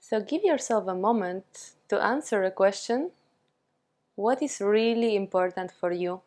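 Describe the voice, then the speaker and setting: Slow-paced woman guiding a meditation. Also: medium pitch. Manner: calm, soothing, with long pauses between short phrases. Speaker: yoga and meditation teacher. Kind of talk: guided meditation.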